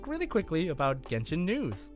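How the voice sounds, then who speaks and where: A man hosting a gaming podcast in casual, enthusiastic talk, with halting starts and emphatic stresses. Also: fairly high pitch, wildly swooping pitch, loud music behind the voice. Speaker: podcast host and quizmaster.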